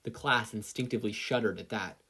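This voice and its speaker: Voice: medium pitch, a little fast, fairly bright tone, husky. A narrator reading fan fiction aloud expressively, as a story with character voices. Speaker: podfic reader, narrator.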